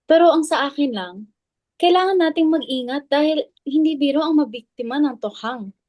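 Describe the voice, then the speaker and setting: A woman's voice: high-pitched, lively intonation, normal speed, muffled recording. A woman playing a wary, insistent teacher in a dramatic reading, arguing emphatically with pointed questions. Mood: defensive. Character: wary, argumentative female teacher, insistent club member Marife. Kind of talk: dramatic stage reading in Tagalog.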